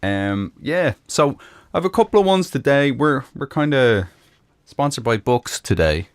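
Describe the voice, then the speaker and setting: A man's voice: medium pitch, very animated, a little slow, clean tone, no echo, studio quality. A man with an Irish accent hosting a live music stream, chatting casually with many ums and uhs. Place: home room in Michigan. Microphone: close microphone.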